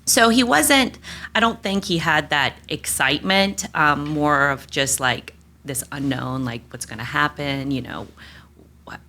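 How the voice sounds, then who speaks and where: Interview guest: woman, low but bright voice, candid and rambling. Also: measured pace. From United States. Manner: candid, rambling with many fillers and restarts. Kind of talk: casual interview conversation.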